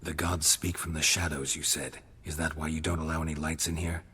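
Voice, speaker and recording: An actor playing a stoic, weathered monster hunter in a video game, terse and deadpan. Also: male voice, a bass voice, fairly quick, breathy edge, heavily acted. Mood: probing. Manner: terse, deadpan, matter-of-fact. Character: stoic, weathered male monster hunter, methodical and world-weary. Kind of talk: video game cutscene acting. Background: loud music.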